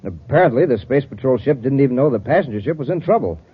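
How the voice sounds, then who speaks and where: A man's voice: medium pitch, lively intonation, quick pace, breathy edge. An actor playing a cold, sly saboteur henchman in a vintage radio space serial, with a wry, menacing way of talking. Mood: annoyed. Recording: muffled old radio recording.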